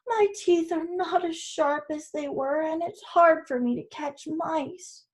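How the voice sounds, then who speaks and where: A woman voicing an old, tired cat who meows and complains slowly and softly. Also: very high voice, voice pushed up. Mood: whiny. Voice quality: meowing, soft.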